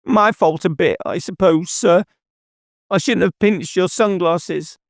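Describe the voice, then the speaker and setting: Man putting on a creaky-voiced plaintive little village girl. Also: high-pitched, measured pace, no room sound, studio quality, pitched above the performer's own voice. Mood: sheepish. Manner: plaintive, childlike. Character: frightened, cheeky young village girl.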